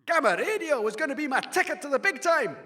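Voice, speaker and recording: Vain bandit warlord for radio comedy: high-pitched, swooping, domineering and full of swagger. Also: male voice, big pitch swings, fast, pushed and bright, exaggerated voice. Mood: outraged. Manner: mocking, blustering, domineering. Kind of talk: comedy audio drama acting.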